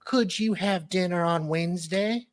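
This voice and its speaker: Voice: medium pitch, a little slow, no echo. A panellist reading documents aloud on a casual show, with wry, playful asides.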